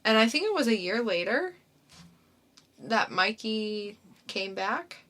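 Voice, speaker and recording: A young woman co-hosts a laid-back podcast and retells a comic plot. She talks at an unhurried, slow pace. Her voice is bright and clear, at a middle pitch. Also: big pitch swings. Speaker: podcast co-host. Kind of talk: casual podcast chat.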